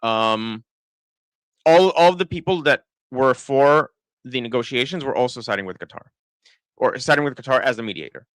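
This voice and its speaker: Israeli guy who sounds american, crisp clear voice, rambling live commentary w lots of ums. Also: medium pitch, animated, normal speed, dry recording, rough recording. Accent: American-sounding English. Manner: thinking aloud, many restarts and fillers. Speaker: political commentator. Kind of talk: live-stream commentary reading chat.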